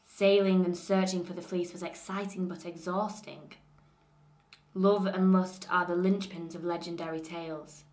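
A young woman with a Lancashire accent, reading a passage in a clear, measured way and then chatting casually about herself. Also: fairly low pitch. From northern England. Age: young adult. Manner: clear, measured reading; relaxed and chatty when talking freely. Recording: roomy echo.

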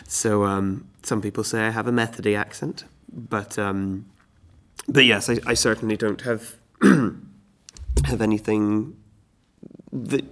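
Low-voiced young man, mild Belfast accent. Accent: mild Belfast, slightly anglicised. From Belfast, Northern Ireland. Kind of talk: informal spoken self-introduction.

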